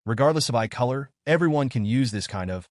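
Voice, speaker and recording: A man voicing a soft-spoken gentle young woman. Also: mid-range voice, lively intonation, fast talker, dry recording, clean studio sound, natural voice. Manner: soft, gentle and warmly polite.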